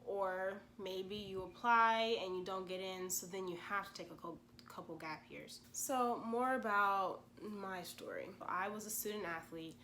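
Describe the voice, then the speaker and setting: Casting note: twenties woman, stuffy from a cold, lowish voice, casual vlog chatter, faint hiss behind. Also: fairly bright tone. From Louisiana, USA. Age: 20s. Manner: chatty, frequent "you know" asides. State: congested from a cold. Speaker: vlogger and pre-med gap-year student. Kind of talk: casual explanatory vlog talk.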